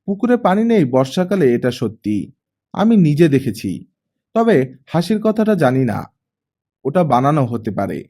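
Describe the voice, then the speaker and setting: An easygoing young man, as a male narrator reads him, casual and frank in an audiobook. The voice is mellow, with lively movement in pitch. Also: unhurried, pauses often. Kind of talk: audiobook character reading.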